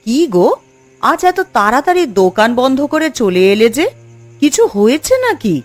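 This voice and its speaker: A woman's voice: on the high side, sing-song, moderate pace. A woman voicing a sensible village housewife in a cartoon story, advising and reproachful. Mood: concerned. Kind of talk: Bengali cartoon story voice acting.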